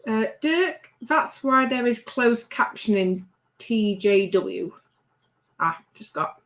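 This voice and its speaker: A woman's voice: on the high side, animated, takes it slowly, fairly bright tone. A woman hosting a casual livestream, reading out chat messages and teasing, often trailing off mid-sentence.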